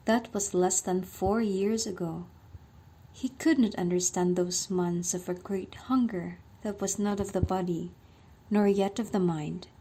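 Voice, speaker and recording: A woman's voice: medium pitch, mellow, husky. A woman narrating and announcing a scripted radio drama in a dramatic storytelling style. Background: faint music.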